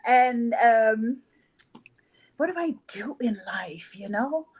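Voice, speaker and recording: Dutch-accented woman with a higher voice. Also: animated, slow pace, a little mellow. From the Netherlands. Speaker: coach and guest. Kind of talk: casual chat.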